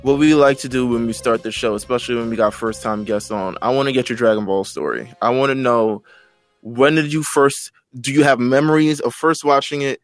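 A man hosting a podcast, chatting in a relaxed way and asking his guest questions. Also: medium pitch, brisk, no echo, soft background music. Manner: relaxed, uses "bro", asks questions. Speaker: podcast host. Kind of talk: casual chat.

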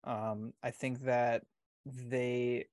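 A man's voice: mid-range voice, flat delivery, extremely slow, darkish tone, no echo. A man co-hosting a casual podcast, easygoing and teasing, laughing often and hedging with fillers.

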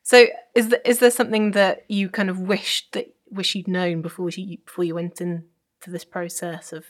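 A woman's voice: lowish voice, flat delivery, normal speed. A woman hosting a casual interview podcast, asking her questions with hesitant restarts. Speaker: podcast host.

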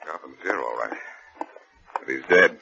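Cocky amateur detective, bright voice, animated pitch, teasing delivery, old-time radio drama, dull vintage sound. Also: male voice, normal speed, creaky, soft background music, exaggerated voice. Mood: brisk, confident. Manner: breezy, teasing, confident. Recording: muffled vintage radio recording.

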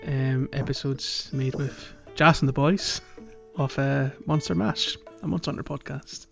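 A man's voice: medium pitch, fairly even intonation, unhurried, creaky. A man hosting a casual gaming podcast, talking in an informal, conversational way. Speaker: podcast host. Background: music.

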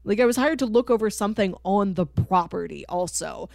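A woman's voice: medium pitch, animated, a little fast, gravelly, a little mellow, no echo, clean studio sound. A woman co-hosting a podcast, talking casually through a TV show and acting out imagined lines as she explains. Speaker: podcast co-host. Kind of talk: casual conversational TV show discussion.

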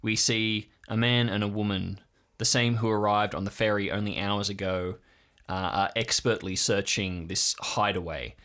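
A man narrating an improvised audio drama as game master, setting the scene in a measured way. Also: fairly low pitch, fairly even intonation, sharp tone.

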